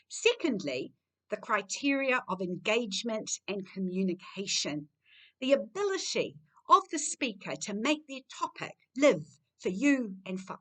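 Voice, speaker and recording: A woman hosting a formal event, speaking in articulate, emphatic, measured phrases. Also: medium pitch, pushed and bright. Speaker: host, university lecturer.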